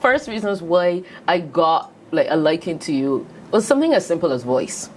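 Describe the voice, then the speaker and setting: Woman, Barbadian accent, interview host, affirming, slightly low voice, faint background noise. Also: sing-song, moderate pace. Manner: warm, affirming listener with many "mm-hmm"s. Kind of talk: casual interview.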